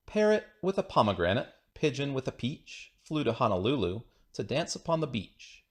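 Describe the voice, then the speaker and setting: A man's voice: medium pitch, sing-song, moderate pace. A man reading children's rhyming poems aloud in a playful, singsong, rhythmic way. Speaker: children's book reader.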